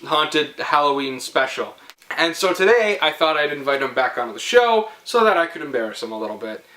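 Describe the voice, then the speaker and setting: Young dude hosting a vlog, bright punchy voice, jokey and teasing, telling a story. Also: male voice, fairly high pitch, lively intonation, a little fast. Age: young adult. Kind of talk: casual comedic storytelling.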